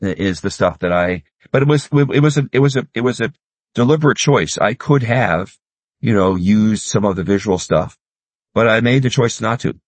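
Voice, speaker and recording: A man hosting an interview, speaking in a relaxed, conversational way and asking questions. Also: fairly low pitch, moderate pace, husky, dry recording. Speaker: host.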